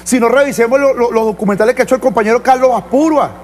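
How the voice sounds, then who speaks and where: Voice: mid-range voice, rapid-fire. Insistent Venezuelan panelist, faint background noise. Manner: insistent, repeats points for emphasis. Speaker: political show panelist and reporter. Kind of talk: unscripted political discussion.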